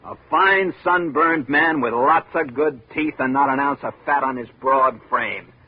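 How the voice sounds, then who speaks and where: A sardonic small-town architect speaks with weary, theatrical eloquence. His voice is markedly rough and breathy, heard through the thin sound of a vintage radio drama. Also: medium pitch, a little slow. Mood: bitter irony. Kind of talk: 1950s radio drama acting. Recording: narrow muffled vintage radio recording.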